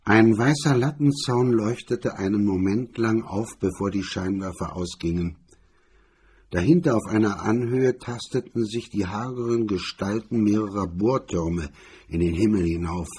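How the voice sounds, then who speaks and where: A man's voice: lowish voice, normal speed, a little mellow, slightly rough. A man narrating a scripted crime story as its reader.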